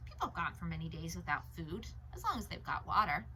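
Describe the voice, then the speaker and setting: A woman's voice: fairly low pitch, big pitch swings, fast, bright, forceful tone, a little hiss or hum. A woman reading an audiobook, voicing a stranded, hungry, anxious boy who thinks aloud earnestly. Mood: self-reassuring.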